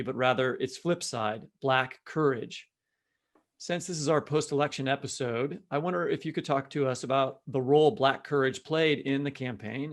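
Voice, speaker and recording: A man's voice: mid-range voice, vocal fry. A man co-hosting a literary podcast, moving between conversation and reading aloud. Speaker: podcast co-host.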